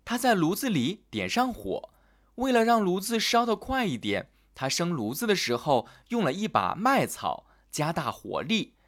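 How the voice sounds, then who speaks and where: Lively male children's storyteller. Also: sits high, moderate pace. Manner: gentle, sing-song storytelling to children. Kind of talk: scripted fairy-tale narration.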